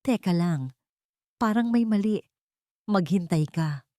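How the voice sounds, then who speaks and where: A woman's voice: medium pitch, expressive, slow, mellow, dry recording, clean studio sound. A woman voicing a suspicious, demanding woman in an audiobook, questioning sharply with a Filipino accent. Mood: wary. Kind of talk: dramatic audiobook character dialogue.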